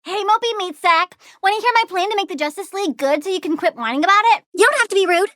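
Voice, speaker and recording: Game villainess: very high, girlish, wildly swinging voice, bubbly plant-obsessed brat with a menacing, sardonic streak. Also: quick pace, dry recording, clean studio sound, heavily acted. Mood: sardonic, sulky. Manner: childlike, gleeful, sardonic, swinging between chirpy and deadpan. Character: bubbly, bratty, menacing young plant-girl supervillain. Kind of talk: video game character voice lines.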